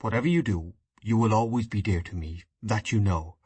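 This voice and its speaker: A man's voice: fairly low pitch, a little fast, darkish tone, slightly rough, no room sound, pitched below the performer's own voice. A man reading a novel aloud, voicing an earnest, idealistic grown son who argues with his mother. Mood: grave. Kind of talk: audiobook dialogue reading.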